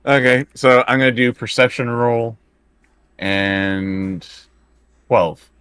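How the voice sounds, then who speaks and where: A man's voice: mid-range voice, slow, fairly bright tone, smooth, no room sound, studio quality. A man chatting casually as a player in a tabletop game, hesitant and stumbling over his words.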